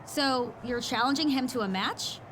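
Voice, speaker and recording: Woman doing earnest backstage wrestling reporter for a game, high animated voice, noisy behind. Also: normal speed, natural voice. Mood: questioning. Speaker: interviewer. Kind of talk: scripted backstage sports interview. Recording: clear ambient background noise.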